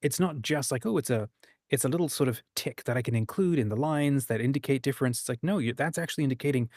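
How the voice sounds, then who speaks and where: A man's voice: mid-range voice, fast talker, darkish tone, no echo, studio quality. A man hosting a casual discussion, explaining his ideas and sometimes acting out short examples. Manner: acts out short quoted examples. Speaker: host of a writing discussion.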